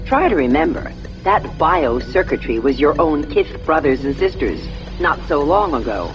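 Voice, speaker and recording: An actress playing a resolute warship intelligence officer in a sci-fi game, measured and military in delivery. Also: female voice, low voice, big pitch swings, lo-fi sound. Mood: grave, businesslike. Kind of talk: scripted sci-fi game dialogue. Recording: loud music under the voice.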